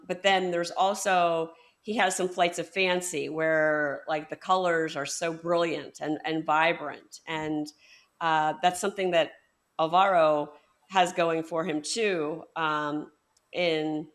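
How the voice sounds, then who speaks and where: A woman hosting a book podcast interview, talking in a hesitant way with many fillers and restarts. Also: low-pitched. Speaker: podcast host. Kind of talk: conversational interview.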